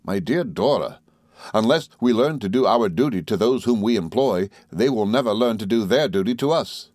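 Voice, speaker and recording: A man voicing an earnest young husband in a classic novel reading, measured and formal, patient yet exasperated as he entreats. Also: fairly low pitch, lively intonation, darkish tone, dry recording, studio quality. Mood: gently reasoning.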